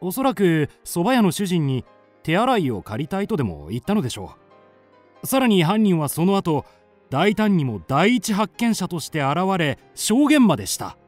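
Earnest young male amateur sleuth, radio drama; very expressive, deliberate, polite, slightly high voice. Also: soft background music, full character voice. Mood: confident accusing. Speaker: narrator and lead character. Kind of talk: radio drama acting and narration.

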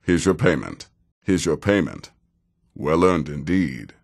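An actor playing a cynical, seasoned male assassin in a video game, with a dry, deadpan and deliberate manner. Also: extremely low pitch, creaky, breathy edge, heavily acted. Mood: measured, businesslike. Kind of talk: video game character dialogue.

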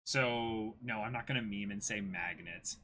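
Male co-host, twenties, for casual fan discussion; bright, animated delivery, laughs easily. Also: fairly low pitch, unhurried. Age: 20s. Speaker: co-host of an anime and manga discussion stream. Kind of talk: casual chat.

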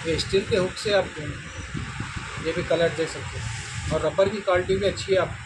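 A man's voice: high voice, fairly even intonation, normal speed, background noise. A young man in his shop, walking through products one by one in plain, repetitive sales talk. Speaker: fishing tackle shop owner. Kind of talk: product showcase. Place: small shop with shelves of boxes, indoors.

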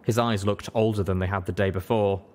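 A man's voice: on the low side, fairly even intonation, brisk, darkish tone. A man reading scripted story narration as an audiobook narrator. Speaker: audiobook reader.

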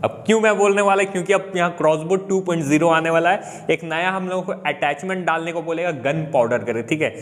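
Young Indian man, upbeat gaming YouTuber, slightly high voice, chatty and excited. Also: quick pace, hardly any pauses. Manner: upbeat, chatty, mixes English words into Hindi. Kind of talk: excited gameplay update commentary.

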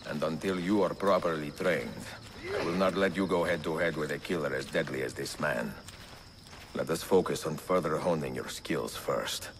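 An actor playing an older Italian master assassin, courteous and grave, with an Italian accent and measured, formal delivery in a video game. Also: male voice, very deep voice, creaky, background noise. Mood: grave, focused. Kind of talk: cinematic video game acting.

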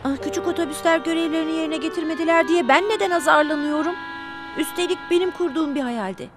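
A woman voicing an excitable young bus in a children's cartoon dub, childlike and squeaky, with expressive exclamations and a whiny streak. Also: fast talker. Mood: indignant. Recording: music behind the voice.

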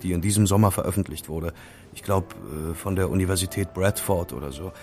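Sheepish young hacker in an audio drama, kinda low voice, sorry for himself, faint music behind. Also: male voice, a little mellow. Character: young male hacker, remorseful and self-pitying.

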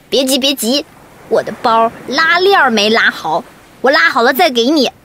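Cheeky little kid hero voiced by a woman, very high, playful, teasing, for a bedtime story. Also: expressive, unhurried, a bit bright, a little hiss or hum. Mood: coaxing, stalling. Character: cheeky, quick-witted little child hero. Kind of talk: children's bedtime story acting.

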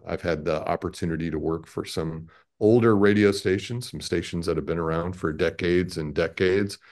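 A man's voice: low-pitched, gravelly, a little mellow. A man in his fifties from Chicago with an American accent, speaking as a guest in a conversational interview. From Chicago, American Midwest. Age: 50s. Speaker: radio network president, interview guest. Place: indoor room, on a video call.

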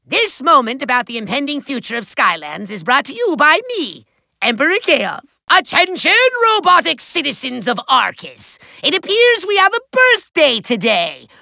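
A man voicing a short-tempered megalomaniac villain in a giant robot body for a video game, shrill, raspy and nasal, pompous, bombastic and gloating. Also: bright, forceful tone, no echo, heavily acted. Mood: smug, grandiose. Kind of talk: theatrical cartoon villain acting.

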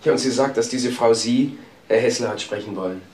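A man plays a measured police detective in a crime radio drama. His medium voice presses on deliberately and insistently. The room around him echoes. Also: mid-range voice, a little mellow, natural voice. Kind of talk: German crime radio play acting.

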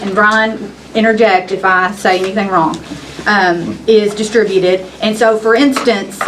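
A young woman speaks earnestly into a table microphone at a county meeting. She talks in a mid-range voice, pausing often and restarting her sentences. Faint room noise sits behind her. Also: mid-range voice, poor audio. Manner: earnest, hesitant, many restarts. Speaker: justice of the peace. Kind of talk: public meeting remarks.

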